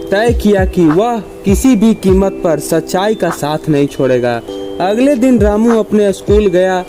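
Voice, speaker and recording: Male narrator for children's moral stories, slightly high pitch, unhurried measured read over a prominent music bed. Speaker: storyteller.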